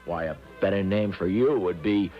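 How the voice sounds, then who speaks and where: Man acting a shy, low-voiced young student. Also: moderate pace, soft background music, close to an everyday voice. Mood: timid, downcast. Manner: hesitant, timid. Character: shy, homesick, timid young American male student. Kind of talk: 1970s radio drama acting. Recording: dull lo-fi radio recording.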